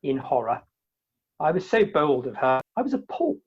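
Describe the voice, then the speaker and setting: On a dull, lo-fi call recording, a woman plays a bashful young bridegroom. The voice is low and husky, with a fastidious, self-righteous delivery from theatrical radio comedy. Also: very animated, full character voice. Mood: prim. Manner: mannered, fastidious, precious. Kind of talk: theatrical comic radio play acting.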